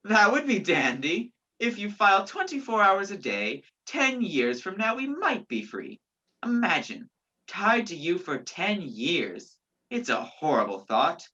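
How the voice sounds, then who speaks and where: A sardonic, commanding man on the run, acted by a woman in a radio play. The voice is low and bright-edged, full of dry sarcasm and clipped orders. The recording is dull and lo-fi. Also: sing-song, slow, slightly rough, exaggerated voice. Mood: dry, sarcastic. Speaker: student stage actor.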